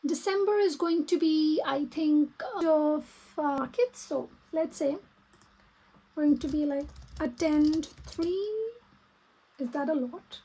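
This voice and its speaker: High-pitched Pakistani woman thinking aloud. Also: dark tone, poor audio. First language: Urdu. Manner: thinking aloud, halting while typing. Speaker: vlogger and small business owner. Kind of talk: casual plan-with-me vlog talk.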